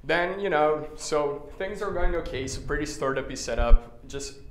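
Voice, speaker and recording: A man's voice: a little high, moderate pace. A man from Serbia giving a talk at a tech meetup, casual and wry, with frequent filler sounds. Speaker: conference speaker. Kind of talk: conference talk with slides. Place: meetup stage, indoors. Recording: echoey room, faint noise.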